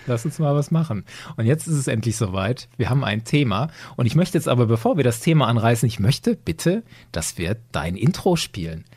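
A man's voice: mid-range voice, sing-song, a little fast, few pauses, a little mellow, breathy edge. A man hosting a podcast in an enthusiastic, appreciative, casual chatting manner. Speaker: podcast host. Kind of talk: casual chat.

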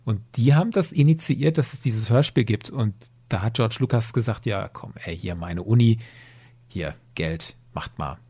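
A man's voice: on the low side, normal speed, a little mellow, husky. A man hosting a podcast, talking casually and enthusiastically in conversation. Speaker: podcast host.

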